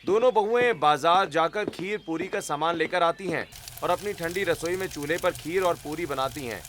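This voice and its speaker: A man's voice: on the high side, moderate pace, fairly bright tone, slight background noise. A man narrating a scripted moral story as the storyteller.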